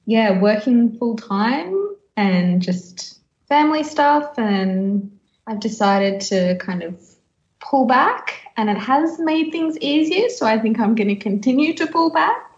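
Echoey, high-voiced woman, lively and joking. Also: takes it slowly. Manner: self-deprecating, joking, laughs easily. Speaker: podcast co-host. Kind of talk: casual chat. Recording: roomy echo, dull lo-fi sound.

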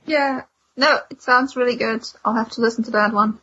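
A woman's voice: a little high, steady tone, normal speed, pushed and bright, slightly rough, dull audio. A woman co-hosting a podcast in casual chat. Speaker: podcast co-host.

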